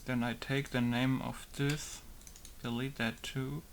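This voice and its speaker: A man's voice: medium pitch, flat delivery, slow pace, vocal fry, a little hiss or hum. Man muttering while modding a game. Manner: thinking aloud, repeating test words. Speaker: game modder. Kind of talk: casual commentary while working.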